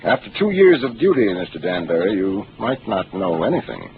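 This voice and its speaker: Seasoned starship captain, 1950s radio play, low and measured, hissy old broadcast. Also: male voice, sing-song, phone-quality sound. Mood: explaining. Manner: measured, authoritative, explaining patiently. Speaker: ship captain. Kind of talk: 1950s radio drama acting.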